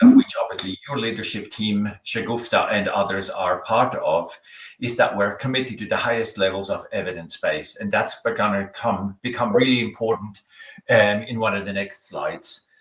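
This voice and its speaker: A middle-aged man from Switzerland with a Swiss accent, giving a conference talk over a video call in a relaxed, halting way with many ums and restarts. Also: on the low side. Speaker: keynote speaker. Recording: echoey room, from far off.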